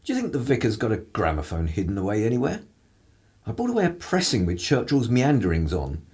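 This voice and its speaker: A man acting a cynical 1930s English broadcaster for radio drama. His voice is low and husky, swinging widely in pitch as he delivers world-weary, mischievous mockery in upper-class phrases. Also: wildly swooping pitch, fairly quick, darkish tone, heavily acted. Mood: weary, sardonic.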